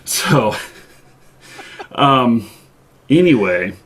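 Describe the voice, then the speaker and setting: Male host, unhurried and hesitant, casual interviewer. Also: mid-range voice, expressive, fairly bright tone, slight background noise. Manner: hesitant, lots of ums and mm-hmms. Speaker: show host.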